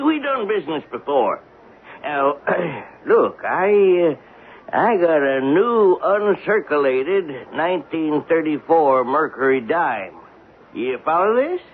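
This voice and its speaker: An actor playing a suspicious, miserly old male beggar in a radio drama, talking in halting, folksy, ungrammatical phrases. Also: on the high side. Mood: excited, cagey. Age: older. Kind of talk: 1970s radio drama acting. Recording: tinny, band-limited, noisy old radio broadcast.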